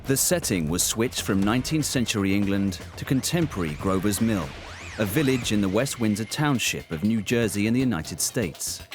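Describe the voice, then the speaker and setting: A man narrates a documentary from a script in a low voice. Music plays clearly behind him. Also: lively intonation, normal speed.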